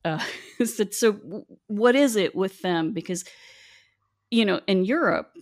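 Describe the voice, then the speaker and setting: A woman's voice: mid-range voice, expressive, takes it slowly. A middle-aged woman from West Virginia with an Appalachian accent, telling stories in a chatty, laughing way. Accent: Appalachian American. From West Virginia, USA. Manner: chatty, laughing, acts out quoted voices. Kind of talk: casual storytelling chat.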